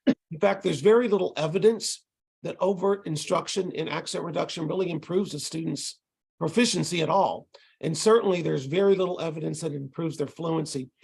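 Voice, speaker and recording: A middle-aged man with a Texas accent, giving a relaxed, conversational lecture over a video call with frequent ums and restarts. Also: on the high side, creaky, husky. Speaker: ESL instructor presenting to tutors.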